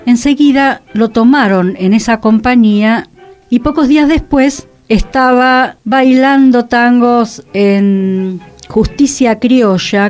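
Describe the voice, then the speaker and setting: An Argentine woman with a fairly high voice talks as a radio presenter. She explains theatre history informally, in an anecdotal storytelling way, with music behind her. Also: a little mellow.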